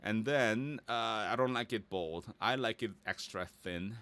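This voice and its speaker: Bright, low-voiced man doing a casual tutorial. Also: unhurried, no room sound. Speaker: voice actor giving a website-building tutorial. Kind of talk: casual screen-share tutorial, thinking aloud.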